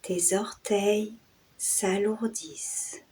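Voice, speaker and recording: Very slow, hushed woman guiding meditation. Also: medium pitch, level tone, whispered, poor audio. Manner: measured, with long pauses between short phrases. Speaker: meditation guide and channel host. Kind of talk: guided yoga nidra and hypnosis meditation.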